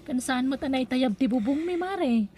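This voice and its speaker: A woman's voice: sits high, animated, moderate pace, noisy background. A woman with an Ilocano accent playing a pious, sympathetic neighbour in an audio drama. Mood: thankful. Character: pious, kindly neighbour woman in a radio melodrama.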